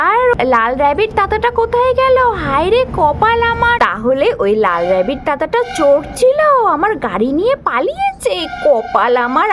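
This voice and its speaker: A woman's voice: very high-pitched, background noise, voice pushed up. A woman voicing a naive, chatty young daughter in a children's doll story, with a plaintive manner. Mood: dismayed.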